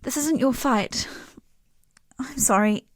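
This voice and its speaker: A dry, close recording of a young exile woman in a dramatic fantasy game. Her voice sits fairly high, and she speaks with guarded, earnest grief. The delivery is subdued and halting. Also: dry recording. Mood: pleading, resigned. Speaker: game non-player character. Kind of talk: dramatic video game voice acting.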